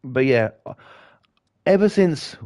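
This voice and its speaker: A middle-aged British man chatting and ranting with lots of swearing while he plays a game and talks to viewers, his voice a bit croaky. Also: medium pitch, lively intonation, takes it slowly, darkish tone. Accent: British English. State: slightly croaky. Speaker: gaming livestreamer. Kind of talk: casual chat while playing a game.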